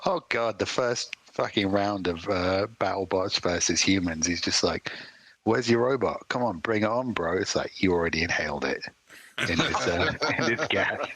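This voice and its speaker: Low husky man riffing on jokes. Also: normal speed, a bit bright, no echo. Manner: riffing, laughing at his own absurd ideas. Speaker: podcast co-host. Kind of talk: casual comedic chat.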